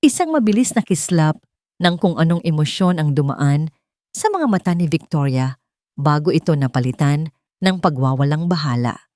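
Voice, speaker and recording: A woman's voice: low voice, expressive, moderate pace, dark tone, no room sound, clean studio sound. A woman with a Filipino accent reading scripted, dramatic narration as a storyteller.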